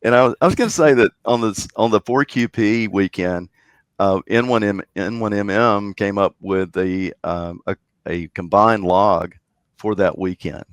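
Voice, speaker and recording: A man's voice: fairly low pitch, moderate pace, no echo. A man guest on a podcast, talking casually with many fillers and restarts. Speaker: guest, contest organizer.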